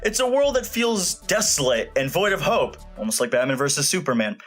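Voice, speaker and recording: A man with a slightly high voice delivers a scripted review. He is opinionated and colloquial, leaning on words for emphasis. Faint music plays behind him. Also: sing-song, a little fast, a bit bright. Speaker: video movie reviewer. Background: background music.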